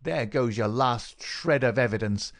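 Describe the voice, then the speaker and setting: A man voicing a cruel, gloating murderer in an audiobook, sneering and mock-solicitous in a dry rasp. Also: mid-range voice, measured pace, natural voice. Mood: triumphant, venomous. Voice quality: dry, rasping. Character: gloating, cruel murderer taunting his dying victim. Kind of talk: dramatic audiobook character reading.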